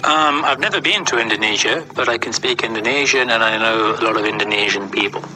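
A man's voice: on the low side, quick pace, music in the background, poor audio. A young man from Wales, answering interview questions patiently in voice messages. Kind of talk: voice message replies.

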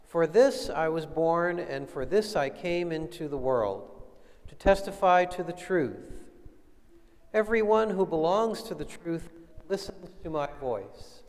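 A man reading the part of a calm, unyielding holy prisoner, solemn and measured, in a scripture reading. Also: a little high. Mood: solemn, firm. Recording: long echoing hall reverb.

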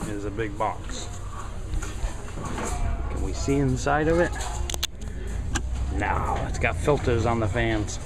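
A man's voice: medium pitch, slow, pauses often. A man giving a casual, admiring handheld video tour as he talks from behind the camera inside a shop. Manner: enthusiastic, admiring exclamations. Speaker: vlogger touring a radio shop. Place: indoors in a cluttered radio shop. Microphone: handheld camera mic, behind the camera. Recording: busy shop background noise.

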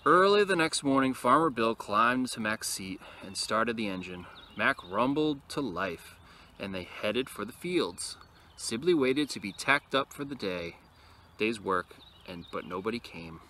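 A man reading a picture book aloud expressively to young listeners, with casual, quieter asides, recorded outdoors. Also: medium pitch, animated, measured pace. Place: outdoors in an open field. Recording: noisy outdoor recording with ambient sound.

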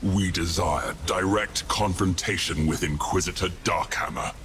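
A man playing a cold, calculating warlord of a dark fleet in a game cutscene, speaking in a measured, imperious way. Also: sits low, a little hiss or hum. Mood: grim, measured. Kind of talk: dramatic video game cutscene acting. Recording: music under the voice.

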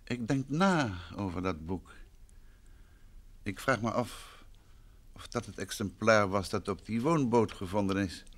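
An actor playing a composed, courteous married gentleman sleuth in an old Dutch radio drama. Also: lowish voice, expressive, unhurried, long pauses. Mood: pensive.

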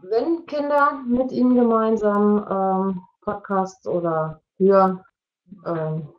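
A middle-aged woman giving an explanatory online presentation in a halting way, searching for words. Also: medium pitch, steady tone. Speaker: media educator presenting at an online parents' evening. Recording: dull, lo-fi video-call audio.

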